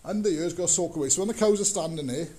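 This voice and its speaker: A man's voice: on the high side, racing, warm tone, husky. A man, talking casually and rambling as he walks round his farmyard and shows his work. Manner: rambling, plain-spoken, shows work as he goes. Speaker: farmer vlogger. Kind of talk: casual walk-through vlog. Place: outdoors on a farmyard and in a cow shed. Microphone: action camera on the body.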